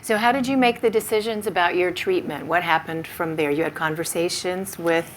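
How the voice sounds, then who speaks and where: Female panel moderator; prompting questions, slightly low voice, faint background noise. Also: brisk. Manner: asks questions, gentle affirmations.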